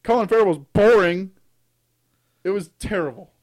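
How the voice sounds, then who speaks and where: High-voiced man, blunt and scornful. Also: moderate pace, a little mellow. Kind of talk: casual podcast chat.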